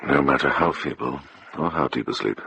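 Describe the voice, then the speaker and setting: Radio drama monk detective: older, shrewd, probing, very deep and bright-edged. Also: male voice, vocal fry, slightly rough, exaggerated voice, low-quality recording. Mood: intent, hushed. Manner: measured, probing, quietly authoritative.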